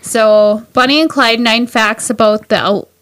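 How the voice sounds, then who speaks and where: Bubbly higher-voiced woman co-host. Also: fairly even intonation, measured pace, a bit bright, no room sound. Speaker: podcast co-host. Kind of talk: casual storytelling chat.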